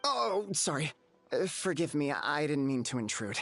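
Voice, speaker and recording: Hesitant, over-apologetic bathhouse proprietor for a fantasy game, courteous and easily flustered. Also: male voice, a little high, very animated, moderate pace, a bit bright, soft background music. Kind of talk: video game voice acting.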